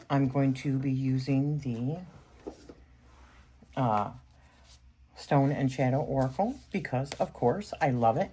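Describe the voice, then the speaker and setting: Very low-voiced chatty woman reading tarot. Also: darkish tone, slight background noise. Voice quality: strong voice. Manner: chatty, rambling with many fillers. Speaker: tarot reader. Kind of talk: casual spoken tarot session.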